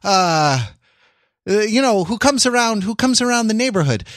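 A man's voice: sits high, lively intonation, normal speed, fairly bright tone, dry recording, clean studio sound, voice pushed up. A man voicing a chatty, genteel elderly parent who tells a neighbourhood tale in a rambling, folksy, fussy way. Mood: cheerfully chatty.

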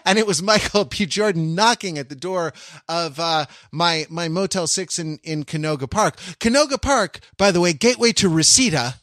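A man's voice: fairly high pitch, moderate pace, fairly bright tone, no echo, studio quality. A man co-hosting a podcast, talking in long rambling sentences with many restarts and laughing asides. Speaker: podcast co-host. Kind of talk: casual discussion.

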